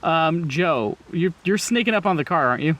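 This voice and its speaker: A man's voice: fairly high pitch, lively intonation, normal speed, a bit bright, dull audio. A man narrating a tabletop roleplaying game as game master in an informal, conversational way.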